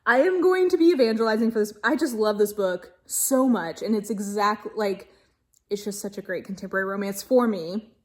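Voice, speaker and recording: A woman's voice: mid-range voice, moderate pace. A woman giving a casual video book review in a gushing, emphatic manner, stretching key words for stress. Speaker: book reviewer and channel host.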